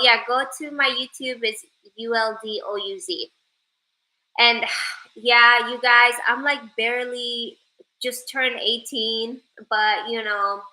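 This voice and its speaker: A woman's voice: mid-range voice, sharp tone, rough recording. A young woman from Sweden chatting casually with viewers on a livestream, joking playfully and reading out their comments. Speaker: live streamer.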